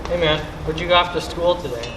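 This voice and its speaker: In a noisy, echoey room, a young man acts a joking teenage jock in a school play. His voice is slightly high for a male and his delivery is laid-back. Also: fast, lightly acted. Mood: casual. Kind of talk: stage acting in a school play. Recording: echoey, noisy stage recording.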